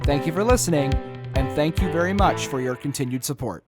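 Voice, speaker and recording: A man's voice: fairly high pitch, fairly quick, over music. A man reading scripted show credits as an announcer.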